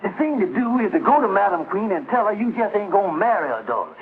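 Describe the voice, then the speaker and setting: An actor playing a sensible, patient friend in a 1950s television sitcom, talking in an earnest, coaxing way. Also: male voice, sits high, very animated, quick pace, phone-quality sound. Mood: concerned. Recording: thin, hissy vintage TV soundtrack.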